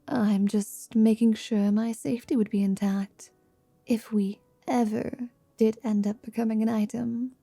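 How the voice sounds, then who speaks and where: A woman playing a confident, teasing half-vampire wizard in an intimate ASMR roleplay, speaking in a soft, languid whisper. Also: fairly high pitch, gravelly, no room sound, full character voice.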